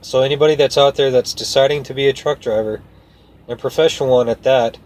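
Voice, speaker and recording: A man's voice: medium pitch, fairly even intonation, quick pace, darkish tone. A man who works as a truck driver tells a story casually, in a folksy, rambling and earnest way. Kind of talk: casual first-person storytelling to camera.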